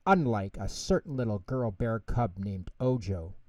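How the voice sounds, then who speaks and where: A man's voice: fairly low pitch, expressive, unhurried, darkish tone, no echo, distant mic. A man voicing a small, sulky, dramatic male mouse in a children's story, huffy and emphatic. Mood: huffy, boastful.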